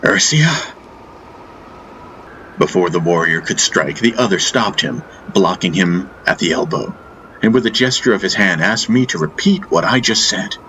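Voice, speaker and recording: A man reading a first-person fantasy audiobook as narrator, in a solemn, deliberate, dramatic style. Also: low voice, pushed and bright, slight background noise, rough recording. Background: faint music.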